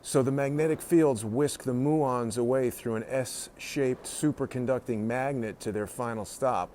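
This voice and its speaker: A man's voice: medium pitch, dark tone. A man hosting a casual live tour indoors, commenting and reading signs aloud in an inquisitive way. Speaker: host of a live-streamed tour. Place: indoors, walking around a research facility. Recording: noisy live stream in a public building.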